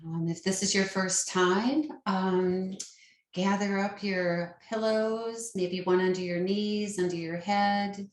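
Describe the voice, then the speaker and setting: A woman leading a guided meditation as a yoga nidra teacher, with a soothing, gentle and unhurried manner. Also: mid-range voice, steady tone. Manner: soothing, unhurried, gentle instruction with long pauses. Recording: roomy, echoing sound.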